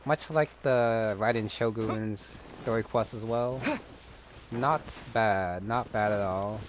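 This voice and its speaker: A man giving casual, musing gameplay commentary in a low-key way. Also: slow pace. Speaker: gamer commentator. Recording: clear background noise, game ambience.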